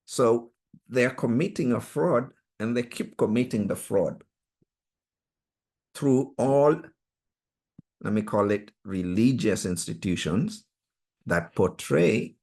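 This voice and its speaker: A Swahili-speaking man leads a study group over a video call, his voice sitting fairly low. He talks very slowly and deliberately, with an earnest, emphatic tone as he teaches. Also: expressive, gravelly, a little mellow, slightly rough. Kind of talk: online Bible study teaching.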